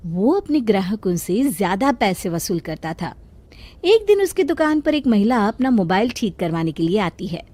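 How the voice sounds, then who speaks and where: A woman's voice: mid-range voice, sing-song, moderate pace, mellow. A woman storyteller narrating a scripted tale for children.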